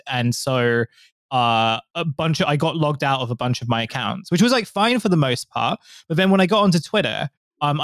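A man's voice: medium pitch, fairly bright tone, dry recording. Rambling male podcast host, dry sound. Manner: rambling, lots of fillers and restarts. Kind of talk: casual chat.

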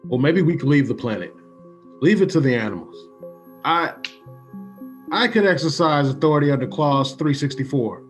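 A man's voice: on the high side, animated, normal speed, pauses often, faint music behind, natural voice. Brusque male executive played by an actor. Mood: troubled. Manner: brusque, authoritative. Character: stern, dismissive male colony executive in charge. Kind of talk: classic radio science fiction drama.

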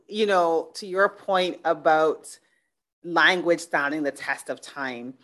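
A woman's voice: sits low, takes it slowly, fairly bright tone. A middle-aged woman from Barbados hosting a podcast interview, chatting casually and thinking aloud with restarts. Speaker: podcast host.

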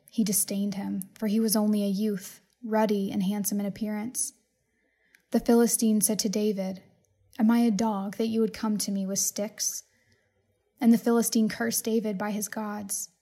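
A woman reads scripture in a measured, solemn way. Her mid-pitched voice is soft-edged and mellow, with very little rise and fall as she narrates. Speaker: scripture reader. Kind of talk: scripted Bible reading, narration.

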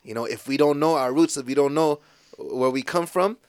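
A man raised in the United States, a podcast guest telling stories in a relaxed, casual way, full of fillers and restarts. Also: fairly high pitch, brisk, clear, resonant tone, dry recording, studio quality. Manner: laid-back storytelling with lots of fillers and restarts.

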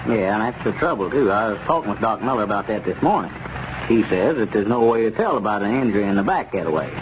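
A man's voice: fairly low pitch, lively intonation, fast talker, close to an everyday voice. Actor as a sensible townsman, crackly radio. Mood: knowing. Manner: plain-spoken, explanatory. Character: sensible, knowing small-town male adviser. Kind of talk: 1930s radio comedy serial acting. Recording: muffled, noisy 1930s radio recording.

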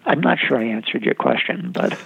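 Man, novelist being interviewed, hesitant and reflective, gravelly voice, phone-like sound. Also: fairly low pitch, wildly swooping pitch, a bit bright. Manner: halting, with many fillers and restarts. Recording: tinny phone-line sound.

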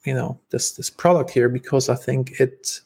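A man's voice: medium pitch, steady tone, normal speed, gravelly, darkish tone, slightly rough. A man with a German accent, a guest on a podcast, telling stories casually with lots of fillers and restarts. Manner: talkative, many fillers and restarts. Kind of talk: casual interview storytelling.

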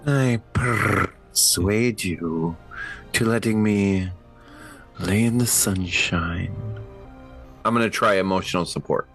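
A man with a fairly low voice plays a tabletop game over faint music. His talk is casual and playful, like chat among friends. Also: takes it slowly, long pauses, fairly bright tone.

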